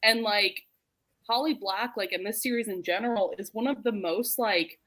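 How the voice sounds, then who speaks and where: A woman talks casually as a book club host, at a medium pitch. She is animated and informal, peppering her sentences with "like" and breaking easily into laughter. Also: normal speed. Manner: animated, laughs readily while talking; informal, heavy use of "like". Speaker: host of a book readalong live show. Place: remote group video call.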